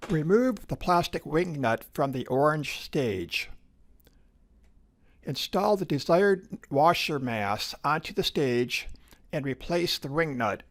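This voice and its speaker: Male instructional narrator, slightly high voice, measured procedural delivery for a technical tutorial. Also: expressive, fairly bright tone.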